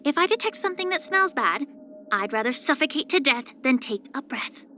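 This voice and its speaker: A woman voicing a poised, soft-spoken, subtly sly young female healer in a video game, with measured, gentle, composed delivery. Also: high-pitched, expressive, soft background music. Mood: calm, knowing. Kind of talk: scripted character voice lines.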